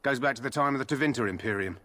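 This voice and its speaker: A man's voice: medium pitch, very fast, pushed and bright. A man playing a dutiful soldier on guard in a fantasy video game, terse and matter-of-fact. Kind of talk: video game character dialogue.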